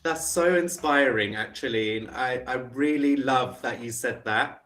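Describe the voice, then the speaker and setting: A man's voice: medium pitch, a little slow, fairly bright tone. A man with a London accent, an online English tutor chatting on a video call and asking encouraging questions. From England. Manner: friendly, encouraging, asks many questions. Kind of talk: casual video call chat. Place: home in the UK.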